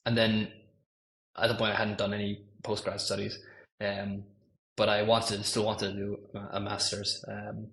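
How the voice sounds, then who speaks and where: A man's voice: lowish voice, completely flat delivery, normal speed, creaky, a bit bright, rough recording. A man in his thirties with an Irish accent, chatting as a podcast guest, rambling with lots of ums and self-corrections. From Ireland. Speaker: guest, machine learning engineer. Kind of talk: casual podcast chat.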